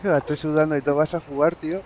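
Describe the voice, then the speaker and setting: A man's voice: on the high side, fairly even intonation, fast talker, a little mellow, a little hiss or hum. A man streaming a farming game, chatting casually with friends and laughing, switching between Spanish and German. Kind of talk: casual gaming chat.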